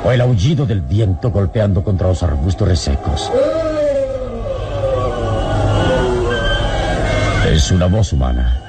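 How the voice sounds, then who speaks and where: An actor playing a resolute heroic adventurer in an old radio drama, thinking aloud gravely and deliberately. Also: male voice, sits low, close to an everyday voice. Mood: alert, resolute. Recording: dramatic radio serial music behind.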